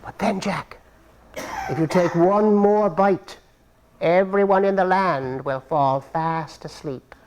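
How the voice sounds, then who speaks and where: A man voicing a kindly magical guide in a live puppet show, with a lilting, drawn-out, sing-song delivery. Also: high-pitched, a little mellow, a little hiss or hum. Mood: gentle, encouraging. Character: kindly high-voiced magical guide sending Jack off.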